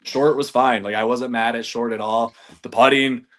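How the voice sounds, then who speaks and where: Energetic thirties male guest from Michigan, casual and profane, filler-heavy storytelling, medium pitch. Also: moderate pace. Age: 30s. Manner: energetic, loose, swears casually, says "man" and "like" a lot. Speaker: podcast guest, golf reviewer. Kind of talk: casual chat.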